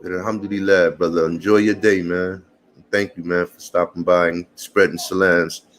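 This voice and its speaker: A man, chatting casually to passersby and viewers in a relaxed morning vlog, calling everyone brother and man. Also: low voice, normal speed, slight background noise. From Puerto Rican family. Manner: laid-back, slangy, calls people brother and man. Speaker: vlogger.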